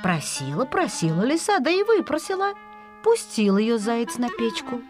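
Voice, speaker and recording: A woman's voice: fairly high pitch, sing-song, moderate pace, music in the background. A woman storyteller narrating a children's fairy tale expressively.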